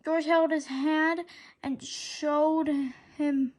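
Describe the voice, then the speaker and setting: A woman reading a children's story aloud in an expressive way, now and then stumbling over words. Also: high voice, drawn-out words. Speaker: read-aloud narrator.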